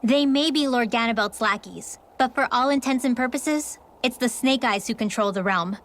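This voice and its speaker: A woman's voice: fairly high pitch, moderate pace, a bit bright, lightly acted. A young woman voicing a plucky, candid girl guide in a video game. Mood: frank, cautioning.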